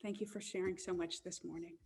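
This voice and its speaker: Gentle woman minister on a video call. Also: fairly low pitch, flat delivery, a little fast, breathy edge. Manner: warm, gentle pastoral delivery. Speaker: minister leading a service. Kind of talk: leading an online church service.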